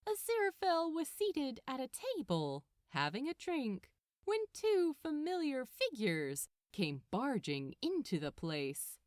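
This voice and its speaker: A woman reading a story aloud in an expressive storybook narration style. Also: a little high, no room sound, clean studio sound. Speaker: fan fiction narrator.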